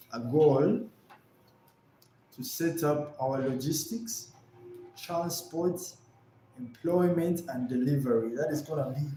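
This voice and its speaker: Young man from Uganda, streamer, earnest, repeats himself, warm tone, faint room noise. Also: fairly high pitch, echoey room, poor audio. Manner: earnest, reflective, repeats phrases. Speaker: live streamer and creator. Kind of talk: livestream talk.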